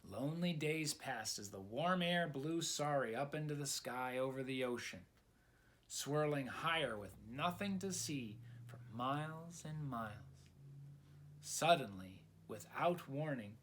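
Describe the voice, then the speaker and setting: A man reading a children's story aloud in an expressive, dramatic storytelling style. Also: a little high. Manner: dramatic, asks the listener questions.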